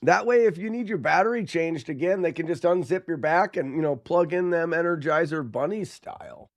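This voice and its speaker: A man's voice: on the high side, fairly quick, lo-fi sound. A man live streaming a game in a casual way, chatting to viewers and thinking aloud as he goes. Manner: talks to chat, thinking aloud, muttering.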